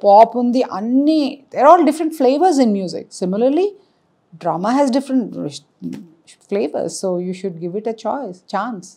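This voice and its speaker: A woman's voice: a little high, lively intonation, drawn-out words, warm tone. A woman who produces puppet shows, explaining her work in an interview and switching between Telugu and English. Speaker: puppet show producer and founder.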